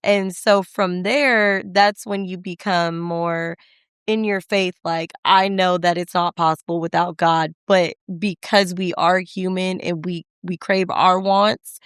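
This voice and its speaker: A woman's voice: on the low side, fairly even intonation, slow pace, clean tone, dry recording, clean studio sound. A young woman co-hosting a faith podcast, talking reflectively and affirming her co-host's points. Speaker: podcast co-host. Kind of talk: faith conversation.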